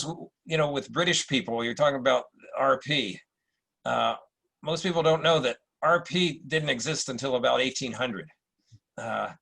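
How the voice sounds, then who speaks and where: Husky, creaky-voiced man explaining things. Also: mid-range voice, sharp tone, poor audio. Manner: hesitant, discursive, explanatory. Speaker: actor. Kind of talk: casual explanatory discussion.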